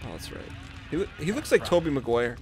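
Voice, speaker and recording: A man's voice: on the high side, sing-song, fast, a little hiss or hum. A man bantering casually with friends during an online game. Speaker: player in a group of gamers.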